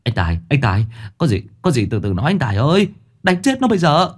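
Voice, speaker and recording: Guy doing a hurried bystander man trying to calm things, husky voice. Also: mid-range voice, pitched above the performer's own voice. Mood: alarmed. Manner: hurried, placating.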